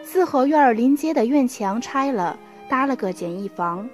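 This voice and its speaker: A woman's voice: a little high, lively intonation, normal speed. A young woman reading scripted storytelling narration for a student radio drama. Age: young adult. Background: music.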